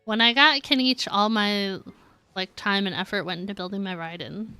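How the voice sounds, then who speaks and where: A woman's voice: medium pitch, normal speed, pushed and bright, smooth, dry recording, faint music behind. A woman chatting casually on a game live stream, rambling and giggly as she talks to viewers.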